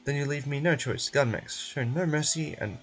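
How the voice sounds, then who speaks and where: A man's voice: on the high side, a little fast, faint music behind, lightly acted. A man voicing a cold, rigid prison officer in an amateur game dub, formal and menacing.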